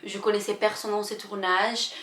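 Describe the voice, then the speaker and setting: A woman's voice: mid-range voice, little pitch movement, a bit bright, a little hiss or hum. Emotional young woman in an echoey room. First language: not French. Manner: emotional, halting, many fillers and restarts. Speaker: vlogger. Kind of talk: confessional personal storytelling.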